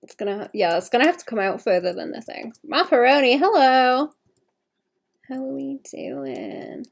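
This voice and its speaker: A young woman with a gravelly, hoarse voice chats casually while sketching on a livestream, often correcting herself and softly laughing. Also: a little high, big pitch swings, normal speed. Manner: chatty, self-correcting, many "like"s and soft laughs. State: hoarse, suppressing a cough. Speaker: digital artist streaming a commission. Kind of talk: casual thinking-aloud commentary while sketching.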